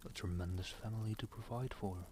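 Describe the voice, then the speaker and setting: Meek, humbled miser read by a man, submissive, flat intonation, hushed storybook style, noisy audio. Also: lowish voice, a little fast, close to an everyday voice. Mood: timid. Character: humbled, timid miser addressing a spirit.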